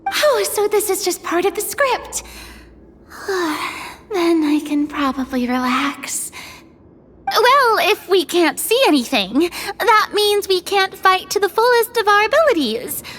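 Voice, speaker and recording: A woman voicing a tiny, excitable female fairy companion who gasps and frets easily, in a fan reading of a game story. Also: extremely high pitch, takes it slowly, pitched above the performer's own voice, exaggerated voice. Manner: gasping, fretful, easily startled.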